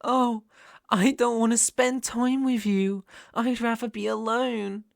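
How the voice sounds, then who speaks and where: A man's voice: very high voice, fairly even intonation, takes it slowly, creaky, no echo, exaggerated voice. A British man playing an obsessive, possessive young kidnapper in an intimate ASMR roleplay, coaxing and menacing in a condescending way, close on the microphone. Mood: condescending, threatening.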